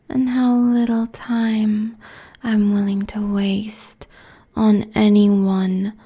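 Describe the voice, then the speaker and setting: Woman acting as a cruel ancient demon queen, breathy whisper, super slow n creaky, taunting asmr roleplay right up on the mic. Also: mid-range voice, mellow, heavily acted. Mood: cold threat. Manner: slow, deliberate, taunting, haughty. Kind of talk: ASMR roleplay audio drama. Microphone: close binaural microphone.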